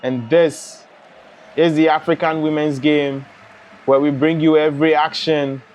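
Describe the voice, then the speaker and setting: A young man from Ghana hosting a live football watch-along, chatting and reading out viewer comments in a relaxed way. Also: a little high, measured pace. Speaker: live stream host.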